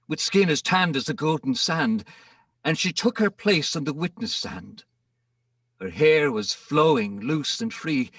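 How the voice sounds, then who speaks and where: Animated, gravelly male verse narrator. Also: a little high, no room sound, far from the mic. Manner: theatrical, rhythmic storytelling. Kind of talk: dramatic recital of comic narrative verse.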